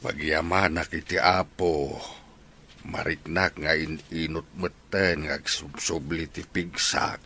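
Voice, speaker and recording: A frail old father played by a male actor in a radio drama, speaking very slowly and very deep. The voice is gravelly yet bright-edged, as an ailing elder talking from a sickbed. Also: steady tone, slight background noise, exaggerated voice. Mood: weary, grateful. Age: older. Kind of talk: Ilocano radio drama dialogue.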